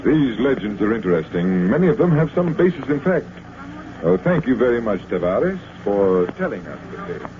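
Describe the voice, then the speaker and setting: An actor as a suave magician, lively pitch. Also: male voice, a little fast, close to an everyday voice. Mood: calm. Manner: calm, teasing showman's patter. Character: unruffled, suave stage magician detective. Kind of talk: 1940s radio drama acting. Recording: tinny, noisy old radio recording.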